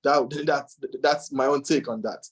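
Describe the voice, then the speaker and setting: A man's voice: medium pitch, steady tone, a little slow, husky. A man on an online panel giving earnest, repetitive career advice, originally from Nigeria.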